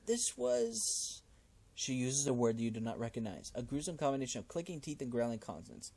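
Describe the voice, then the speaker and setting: A man's voice: mid-range voice, quick pace, dark tone. A man reading a game's text aloud as narration, with casual commentary of his own.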